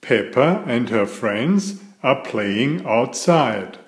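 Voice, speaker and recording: A man reading a picture book aloud to young children in a deliberate, emphatic storytelling style. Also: medium pitch, lively intonation, echoey room.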